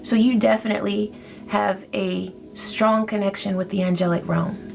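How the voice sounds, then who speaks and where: A woman giving a spiritual tarot reading in a soft, reflective, gently encouraging way. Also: medium pitch, steady tone, normal speed, creaky. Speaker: tarot reader. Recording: faint music behind.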